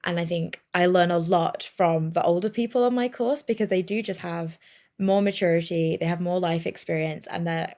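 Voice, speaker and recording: Young woman host with gravelly voice. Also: lowish voice, moderate pace, darkish tone. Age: young adult. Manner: chatty, enthusiastic, many fillers. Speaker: podcast host. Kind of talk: casual interview chat.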